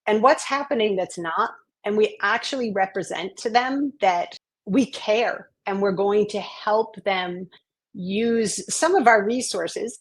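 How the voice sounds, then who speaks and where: An earnest woman, a guest on an interview podcast, speaks at a mid pitch. She is reflective and thoughtful, and she grows lively as she tells her stories. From Maine, US. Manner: earnest, reflective, animated when telling stories. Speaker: guest, education consultant and author.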